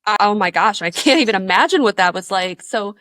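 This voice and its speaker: A woman's voice: medium pitch, expressive, fairly quick, a bit bright, no echo. A woman hosting a podcast interview, encouraging her guest with frequent affirmations. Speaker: interviewer host.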